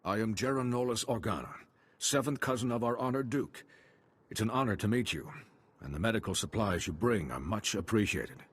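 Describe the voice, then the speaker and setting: An actor playing a courteous, loyal and stoic nobleman in a video game, with a husky voice and formal, gracious manner. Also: lowish voice, animated, fairly quick, a bit bright, no echo. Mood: gracious, proud. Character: courteous, loyal male minor nobleman, wounded but stoic.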